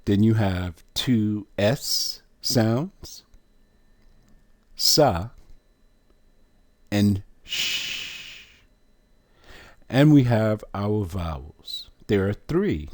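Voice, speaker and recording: Slow, emphatic man teaching. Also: fairly low pitch, animated. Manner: emphatic, drawn-out words with long pauses. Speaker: religious teacher.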